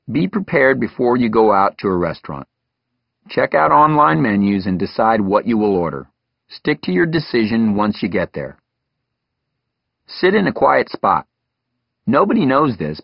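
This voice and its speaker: A man's voice: fairly low pitch, gravelly, no room sound, highs cut off. A man reading a scripted instructional audiobook as its author, giving direct, advisory guidance. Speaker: doctor and author reading his own audiobook.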